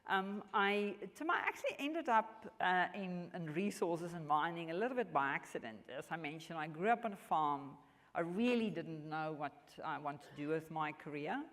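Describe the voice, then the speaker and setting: A woman in her fifties from South Africa, with a South African accent and Afrikaans as her first language, answering interview questions in an earnest, measured way with frequent restarts. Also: on the low side, expressive, a bit bright. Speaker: mining executive guest. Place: large function hall. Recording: long hall reverb.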